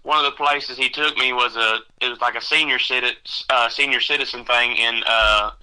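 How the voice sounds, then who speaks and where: Bright-voiced young man. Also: medium pitch, steady tone, smooth, rough recording. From Texas. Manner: halting, rambling with many fillers. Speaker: country singer guest. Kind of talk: casual interview chat.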